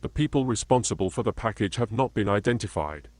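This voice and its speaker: A man reading a scripted emergency broadcast announcement in a formal, measured manner, as a continuity announcer. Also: fairly low pitch, animated, darkish tone, no echo.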